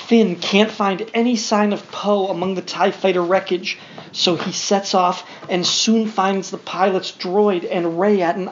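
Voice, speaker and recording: A woman reading a storybook aloud to a young child, as a parent would, with simple asides and questions. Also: medium pitch. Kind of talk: read-along storybook narration with spontaneous asides to a child. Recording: dull home recording with faint noise.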